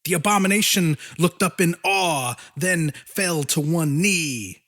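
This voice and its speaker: A man reading horror fiction as an audiobook narrator, in a deliberate, theatrical way. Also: fairly high pitch, fairly bright tone. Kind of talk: dramatic scripted narration of a horror story.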